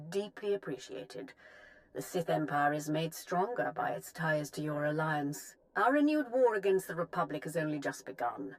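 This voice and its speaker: An actress playing a calculating, assured Sith empress in a video game, with imperious, formal and measured phrasing. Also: female voice, low-pitched, poor audio. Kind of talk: dramatic game voice acting.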